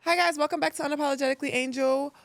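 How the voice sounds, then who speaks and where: Female podcast host, casual and slangy, slightly high voice with vocal fry, dry close sound. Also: steady tone, rapid-fire, no echo, soft background music. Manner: slangy, affirming interjections. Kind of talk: casual celebrity interview.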